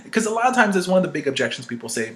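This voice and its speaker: A man's voice: high-pitched, very animated, racing, fairly bright tone. A man giving an informal instructional marketing-training talk, conversational and emphatic, often checking in with "right?" as he makes his points. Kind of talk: informal instructional talk with acted-out example calls.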